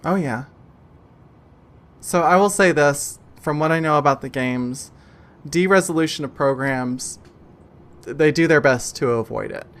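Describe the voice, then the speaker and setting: A man's voice: fairly high pitch, measured pace, long pauses, natural voice. Man voicing a matter-of-fact female program driver. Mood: coolly confident.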